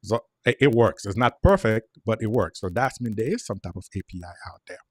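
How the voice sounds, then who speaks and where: Haitian accent guy, low voice, earnest, repeats himself, dry close mic. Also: animated, brisk, no room sound. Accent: Haitian Creole. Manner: earnest, repeats words while explaining. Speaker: guest. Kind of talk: casual interview chat.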